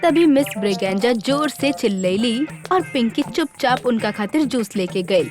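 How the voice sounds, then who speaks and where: A woman with a Bhojpuri accent giving scripted narration for an animated children's story. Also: fairly high pitch, normal speed, music in the background.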